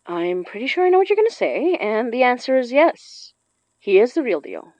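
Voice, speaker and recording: A woman's voice: on the high side, very animated, normal speed, a little mellow, no room sound. A woman playing a determined, informal young spirit summoner in a horror audio drama, giving things a self-possessed, casual tone. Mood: teasing. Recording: dry, slightly dull studio sound.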